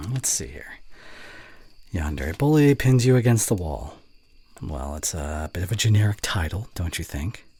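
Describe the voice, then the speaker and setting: A man playing a snarky but secretly fond young college voice actor in an intimate ASMR roleplay, teasing and grumbling with a breathy voice. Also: low voice, a little mellow, a little hiss or hum. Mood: bantering, critical.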